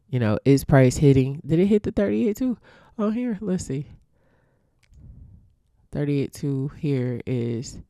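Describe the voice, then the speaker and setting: A woman's voice: sits low, dark tone, no room sound, studio quality. A congested woman teaching forex trading, walking through a chart casually and thinking aloud with ums and restarts.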